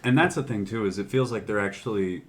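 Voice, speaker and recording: A man's voice: fairly low pitch, quick pace. A man co-hosting a podcast, speaking in a casual, conversational way. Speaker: podcast co-host. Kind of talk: casual movie discussion chat.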